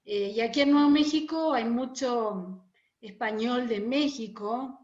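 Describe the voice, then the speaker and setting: A woman's voice: a little high, a little slow, a little mellow. A woman from Uruguay with a Rioplatense accent chats in an explanatory way as an interview guest, over a muffled, roomy internet call. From Montevideo, Uruguay. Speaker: guest, oral storyteller. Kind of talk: casual interview chat.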